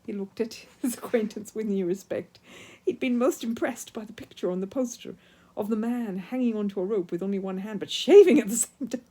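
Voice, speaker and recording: A woman's voice: medium pitch, fairly quick, warm tone. A woman narrating a children's story expressively, as a storyteller reading from a book.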